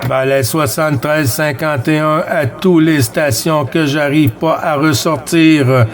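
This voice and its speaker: A man chatting as a CB radio operator, giving friendly greetings and sign-offs in a halting, hesitant way. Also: on the high side, steady tone. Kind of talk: radio contact chat, exchanging sign-offs.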